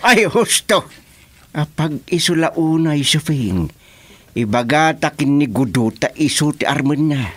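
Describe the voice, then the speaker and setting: A man's voice: fairly high pitch, expressive, a little slow, fairly bright tone. An actor playing a teasing, skeptical older uncle with an Ilocano accent in a radio comedy drama, exclaiming and stretching his words. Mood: helpful, exclaiming.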